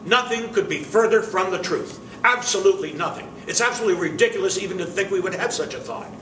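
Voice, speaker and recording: An older man making an emphatic, indignant statement at a press conference, pausing deliberately between phrases, heard from across an indoor room. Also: high-pitched, animated, sharp tone. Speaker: managing member of the facility's owners. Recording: roomy, distant, noisy camera audio.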